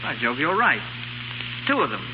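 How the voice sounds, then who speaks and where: Casting: skeptical, gallant gentleman, highish voice with lively swings, 1930s radio drama on a muffled, noisy recording. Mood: reassuring, amused. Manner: wry, brisk, polite. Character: gallant, skeptical young gentleman, wry and reassuring.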